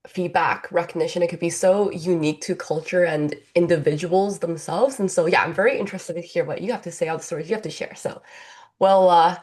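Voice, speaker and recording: A woman with a slightly low voice hosts a webinar. She is encouraging and affirming, moving between prepared segues and conversational remarks. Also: a little mellow. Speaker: webinar host. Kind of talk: semi-scripted webinar hosting.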